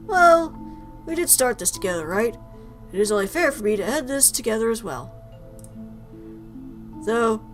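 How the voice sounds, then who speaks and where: A woman's voice: on the high side, a bit bright. A woman reading visual novel dialogue aloud as a gaming video host. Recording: prominent game music behind voice.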